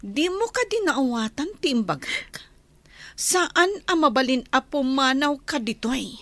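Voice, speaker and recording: An actress playing a stern, commanding mistress of a wealthy household in a radio drama, with an Ilocano accent. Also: female voice, high voice, lively intonation, measured pace, fairly bright tone, dry recording, full character voice. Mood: threatening. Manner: commanding, threatening.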